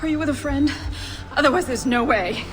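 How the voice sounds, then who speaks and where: An actress playing a frightened, earnest captive scientist in a video game. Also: female voice, high voice, fairly quick, fairly bright tone, a little hiss or hum. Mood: shaken, explaining. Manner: anxious, earnest. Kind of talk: video game cutscene acting.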